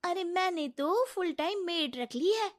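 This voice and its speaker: A woman's voice: very high-pitched, animated, unhurried, dry recording, clean studio sound, pitched above the performer's own voice. A woman voicing a chatty, nosy young office friend in a cartoon story.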